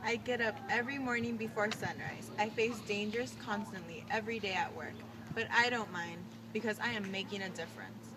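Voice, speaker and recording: A woman's voice: mid-range voice, steady tone, a little fast, few pauses, lightly acted. A woman playing a dutiful 1940s wartime mother and mechanic, reciting earnestly in a mock radio interview. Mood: earnest, proud. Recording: distant mic with clear outdoor noise.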